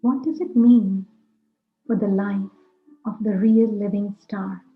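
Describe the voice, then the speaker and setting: A woman teaches guided meditation at a very slow pace, in short, measured phrases. Her voice carries a roomy echo, and music plays behind her. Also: mid-range voice, steady tone, phone-quality sound.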